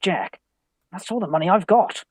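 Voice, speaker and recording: Man acting shy young Victorian gent, British, highly varied pitch, plaintive, stammering, dry close sound, farce radio play. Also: animated, normal speed, breathy edge, dry recording. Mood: protesting. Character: timid, anxious young Victorian gentleman, shy poet.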